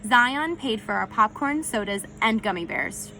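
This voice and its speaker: A woman narrates a storybook aloud in the first person with expression. Her voice is fairly high and very bright, and there is a faint noise in the recording. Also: lively intonation, unhurried, poor audio.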